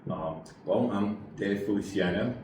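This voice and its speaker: A man in his forties from Puerto Rico, an army veteran telling his story in a relaxed interview, halting and full of fillers. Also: lowish voice, heavy reverb, distant mic, background noise. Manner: rambling, halting, many fillers.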